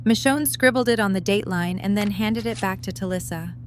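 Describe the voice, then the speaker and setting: A woman's voice: medium pitch, brisk. A woman narrating a scripted story as the narrator of a fan audio drama. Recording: light noise and hum.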